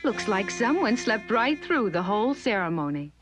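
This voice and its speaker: A woman storyteller narrates a children's animal tale with highly varied intonation. She is chatty and expressive, speaking to the listener over background music. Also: normal speed.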